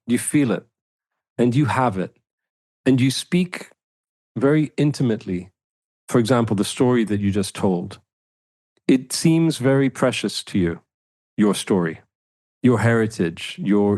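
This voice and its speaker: A man's voice: fairly low pitch, a little mellow, dry recording. A man hosting an interview, encouraging his guest and thinking aloud as he builds his questions. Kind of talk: podcast interview.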